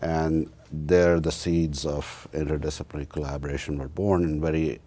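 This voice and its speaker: A man in his fifties with an Indian accent, giving reflective interview answers in a halting way with many pauses. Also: very deep voice, flat delivery, mellow. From northern India. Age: 50s. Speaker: interview guest, university research executive and computer scientist.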